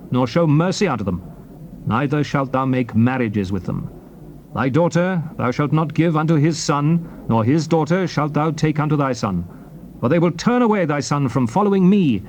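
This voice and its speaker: An actor playing a stern prophet exhorting his people in solemn, declamatory, deliberately phrased King James scripture on a noisy old cassette. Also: fairly high pitch, darkish tone, natural voice.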